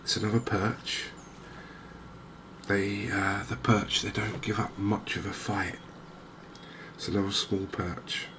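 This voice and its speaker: A softly spoken man giving hesitant, unhurried ASMR-style commentary over a video game. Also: fairly low pitch, level tone. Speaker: gaming video host. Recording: clear game ambience noise behind voice. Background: outdoor wildlife ambience from a fishing game.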